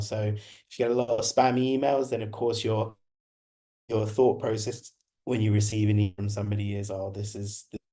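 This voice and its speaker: A man in his thirties with a husky voice, slightly hoarse from being unwell, giving a conversational online presentation with frequent restarts. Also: on the low side, mellow. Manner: conversational, many restarts and repetitions. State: recovering from illness, slightly hoarse. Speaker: guest speaker presenting a webinar.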